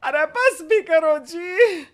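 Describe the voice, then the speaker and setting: Comic storybook mother-in-law played by a story performer: old, gluttonous, sulky, high-pitched, whiny and melodramatic. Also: lively intonation, drawn-out words, full character voice. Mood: wailing protest. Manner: whiny, indignant, dramatic protesting. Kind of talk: comic moral story dramatization.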